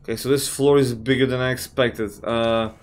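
A man, slightly raspy from just waking up, giving casual let's-play commentary on a horror game, swearing often and thinking out loud. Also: medium pitch, fairly even intonation, normal speed, slight background noise. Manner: swears often, thinks aloud, reacts with surprise. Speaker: gamer playing a horror game.

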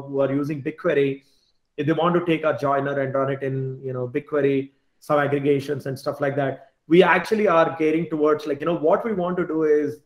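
A man giving an informal slide presentation on a video call, often restarting his words and adding filler asides. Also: on the high side. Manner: repeats and restarts words, frequent "you know" asides. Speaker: tech team lead presenting at an online meetup. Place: bright room at home or small office, indoors. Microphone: computer mic on a video call. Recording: echoey room on video call.

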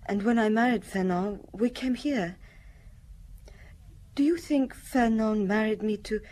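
A woman playing a sad, quiet young refugee widow in a radio drama, speaking in a halting, reflective way. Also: mid-range voice, natural voice. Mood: sad, remembering.

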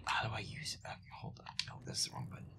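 A man playing a feisty, profane young werewolf boyfriend muttering jumpily through a scary video game in a breathy, hushed voice. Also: fast, in a whisper, natural voice. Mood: irritated muttering. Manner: profane, irritable, jumpy muttering. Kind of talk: improvised-sounding gaming commentary, audio roleplay.

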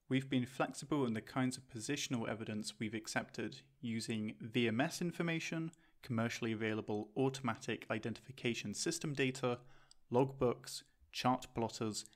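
A young man from Jersey in the Channel Islands presents a scripted explainer as the host of the video. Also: medium pitch.

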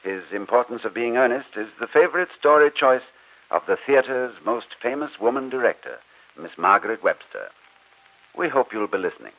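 A man hosting an old radio drama program, announcing from a script in a polished, formal, measured manner. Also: medium pitch, big pitch swings. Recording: dull old radio broadcast recording.

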